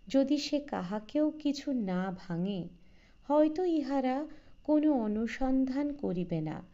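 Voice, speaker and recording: Slow, mellow woman narrator. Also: a little high. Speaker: audiobook narrator. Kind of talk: scripted literary story narration. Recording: slightly dull, lo-fi recording.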